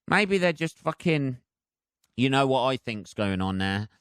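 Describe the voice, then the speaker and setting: British man, comedy podcast host, sardonic, sweary, rambling casual rant, clear resonant voice, dry recording. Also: medium pitch, big pitch swings, unhurried, a bit bright, dry recording.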